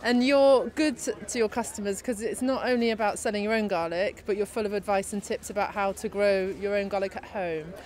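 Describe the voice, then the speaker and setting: A woman in her thirties hosts a quick interview at an outdoor show stall. She asks her questions in an upbeat, encouraging way. The sound comes through the handheld mic she holds. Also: medium pitch, fairly even intonation, darkish tone, slight background noise. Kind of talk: presenter interview.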